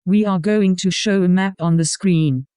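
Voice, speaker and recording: A man plays an official reading a scripted evacuation notice. His voice is high for a man, soft-toned and dark, recorded dry. He is formal and instructive. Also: steady tone, normal speed, clean tone, no echo, natural voice. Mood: formal, directive. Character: formal male homeland security evacuation announcer. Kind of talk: scripted emergency announcement.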